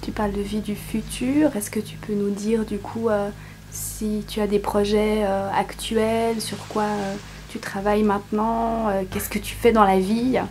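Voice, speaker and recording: A woman's voice: mid-range voice, little pitch movement, measured pace, darkish tone, poor audio. Woman interviewer over faint background noise. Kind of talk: informal interview questions. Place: musical theatre school after rehearsal.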